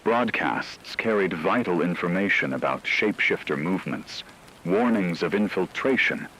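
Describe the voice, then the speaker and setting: Male narrator for apocalyptic drama: deep register, grave measured read, light noise bed behind. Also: expressive, a bit bright, low-quality recording.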